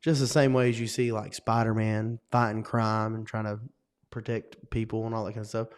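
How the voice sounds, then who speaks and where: A man's voice: lowish voice, level tone, normal speed, clean tone. A young man from North Carolina with a Western North Carolina accent, co-hosting a casual podcast chat and talking earnestly in long rambling runs. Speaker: co-host.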